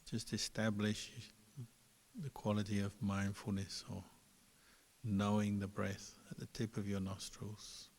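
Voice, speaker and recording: Slow, even-voiced man guiding meditation. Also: on the low side. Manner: calm, unhurried, gentle pauses between phrases. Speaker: Buddhist monk, meditation teacher. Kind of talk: guided meditation instruction. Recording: long hall reverb.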